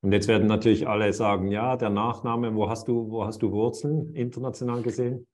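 Ein Mann mit Schweizer Akzent, der als Moderator ein Gespräch führt und oft mit Füllwörtern und Neuansätzen zögert. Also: male voice, on the low side, fairly even intonation, fairly quick, darkish tone. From Switzerland. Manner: hesitant, many fillers and restarts. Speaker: host. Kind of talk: interview over video call.

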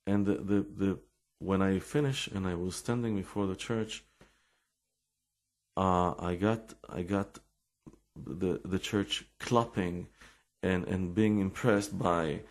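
Deep, gravelly Israeli-accented man, speaking slowly. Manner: halting, repeats words while searching for English. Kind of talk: informal religious talk with personal stories.

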